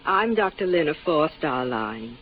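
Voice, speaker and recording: A woman's voice: low-pitched, big pitch swings, a little slow. An actress playing a young, intelligent woman ship's doctor in a 1950s radio drama, composed and probing. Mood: cool, curious. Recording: tinny, noisy 1950s radio recording.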